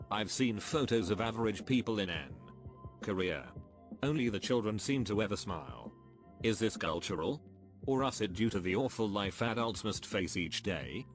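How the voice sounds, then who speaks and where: A man giving a scripted narration, reading online questions and answers in an even, measured way. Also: on the low side.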